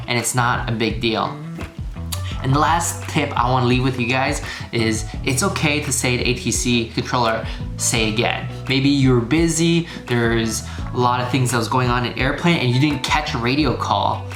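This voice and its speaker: A man giving a casual, informal tutorial as a video instructor, repeating key phrases for emphasis. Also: medium pitch. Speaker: flying instructor, video host. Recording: roomy echo, music behind. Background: background music.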